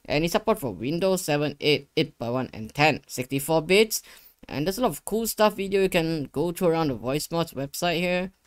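A young man giving a casual software tutorial, talking himself through each step in an informal, rambling way. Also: fairly high pitch, expressive, moderate pace, no room sound. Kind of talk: casual screen-share tutorial. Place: indoor living room gaming setup. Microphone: close desktop USB microphone.

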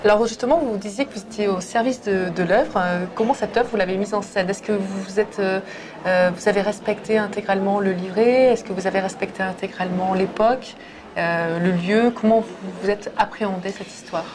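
A woman in her thirties interviewing a guest, asking halting questions with fillers, seated in a theatre auditorium. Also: mid-range voice, background noise. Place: theatre auditorium, in a box seat. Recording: busy crowd chatter behind. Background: crowd chatter.

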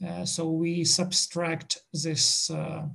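A man presenting to a study group over a video call, pausing often with filler sounds as he works through the slides. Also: fairly high pitch, completely flat delivery, gravelly, bright, forceful tone. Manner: halting, many filler sounds, slow and deliberate.

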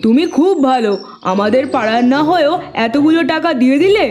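A woman voicing a bright, caring young child in a children's cartoon. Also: sits high, animated, brisk, soft background music. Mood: admiring, curious.

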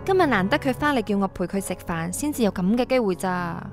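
A woman's voice: mid-range voice, expressive, a little fast, close to an everyday voice. An actress playing a persuasive young woman, a tycoon's breezy daughter, in a radio drama, mixing in English words. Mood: coaxing. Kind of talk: radio drama acting, casual dialogue. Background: background music.

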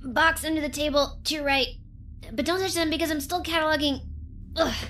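An actress playing a blunt young crewmate on a spaceship in an audio drama. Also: female voice, high-pitched, fairly even intonation, brisk, fairly bright tone, slight background noise. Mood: fussy. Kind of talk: scripted audio drama acting.

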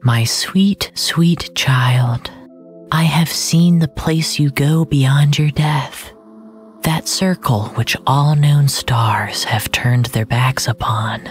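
A narrator voicing Mother Nature as an ominous, tender seer in a breathy whisper, in an audio drama. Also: medium pitch, takes it slowly, faint music behind, pitched above the performer's own voice, lightly acted.

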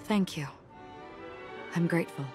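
An actress plays a solemn game heroine with a low voice and formal, reserved phrasing. She sounds composed and courteous, with music clearly behind her. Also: unhurried, natural voice. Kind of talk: game voice acting.

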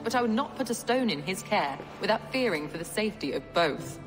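An actress playing a dignified, earnest medieval abbess in a video game, speaking formally and solemnly. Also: female voice, mid-range voice, lively intonation, brisk, music in the background, lightly acted, poor audio. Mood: earnest counsel.

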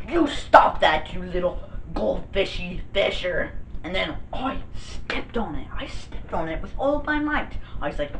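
A man's voice: very high voice, a bit bright, a little hiss or hum, voice pushed up, heavily acted. A boy putting on a goofy boastful celebrity chef. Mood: theatrical. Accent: put-on fake accent. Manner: goofy, giggling at own jokes. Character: silly pompous male celebrity chef, boastful. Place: bedroom with gaming desk. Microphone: far camera. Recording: echoey room from far off.

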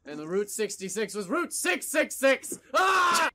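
A man voicing a brooding, melodramatic cop car that rambles and bursts into shouting, in an improvised cartoon parody dub. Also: extremely high pitch, big pitch swings, measured pace, heavily acted. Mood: agitated, ranting. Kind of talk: improvised comedy fandub.

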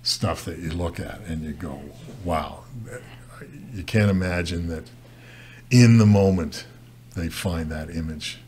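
A man's voice: sits low, slow pace, mellow. A man in his sixties from Canada, a photojournalist guest talking casually at a studio desk mic, rambling with many restarts and fillers. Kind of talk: casual interview talk. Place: studio set with brick wall. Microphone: desk mic on stand.

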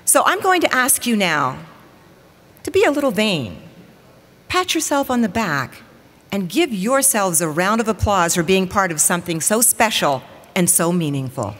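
A woman's voice: medium pitch, sing-song, normal speed, fairly bright tone. A woman in her forties, a TV weather reporter, giving an upbeat formal welcome speech from a lectern on stage and rallying the audience. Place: large hall, at a lectern on stage. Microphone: lectern microphone. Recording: long hall reverb.

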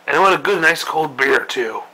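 A man voicing a crude, grumpy old male admiral who rants and swears in a gravelly voice. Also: a little high, lively intonation, a little fast, pushed and bright, a little hiss or hum, poor audio. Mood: ranting. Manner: profane, griping rant. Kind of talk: comedic parody monologue.

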